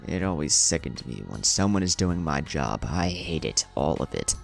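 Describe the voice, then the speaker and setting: Menacing male villain for an anime-style story, done by a man narrating, deranged and ranting, low gravelly voice. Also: very animated, soft background music, exaggerated voice. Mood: menacing contempt. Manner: ranting, drawn-out threats.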